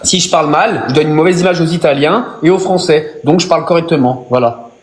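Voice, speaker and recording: A man's voice: fairly high pitch, fast talker. A man on a live stream arguing heatedly and mocking his opponent while talking to his viewers. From France.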